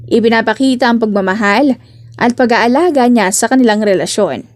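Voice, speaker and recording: A woman's voice: a little high, a little fast, no room sound, studio quality. A woman reading scripted narration for a romance audiobook.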